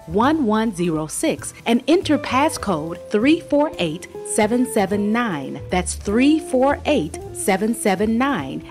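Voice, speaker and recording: Lively woman reading church announcements. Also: mid-range voice. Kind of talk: scripted announcement narration. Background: music under the voice.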